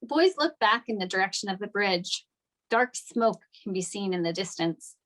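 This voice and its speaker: A woman's voice: medium pitch, animated, normal speed, fairly bright tone, low-quality recording. A woman narrating the stage directions of a script at a table read.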